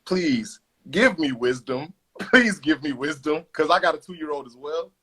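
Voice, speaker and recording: A man's voice: a little high, expressive, a little slow, crowd noise. A young man hosting a casual interview, chatty and laid-back, full of slang. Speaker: host.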